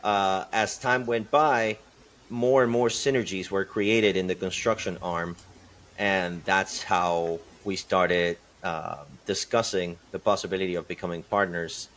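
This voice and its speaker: A man from Peru, answering interview questions as a podcast guest in a thoughtful way, pausing and restarting often. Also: low-pitched, steady tone, fairly bright tone. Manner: thoughtful, many fillers and restarts. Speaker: podcast guest, construction company partner.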